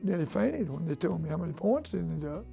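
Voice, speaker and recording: A man's voice: on the high side, big pitch swings, quick pace, gravelly, warm tone, faint music behind. A man in his eighties from the southern United States, casually reminiscing as an interviewee. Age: 80s. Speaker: interviewee, former college basketball player.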